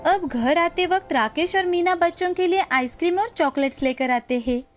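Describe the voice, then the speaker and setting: A woman's voice: very high-pitched, normal speed, faint music behind. A woman reading a scripted children's story as its narrator.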